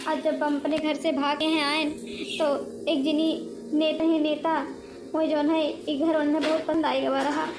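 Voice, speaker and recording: Woman voicing a very shrill aggrieved ghost witch. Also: flat delivery, a little slow, noisy background. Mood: aggrieved, pleading. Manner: emphatic, repeats words for force. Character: aggrieved female witch ghost, murdered before her wedding. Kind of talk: animated comedy cartoon voice acting in dialect.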